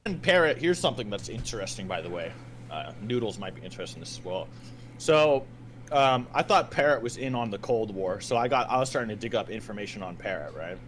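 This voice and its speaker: A man's voice: lowish voice, lively intonation, brisk, fairly bright tone, slight background noise. A slangy, sweary man chatting casually on a recorded voice call.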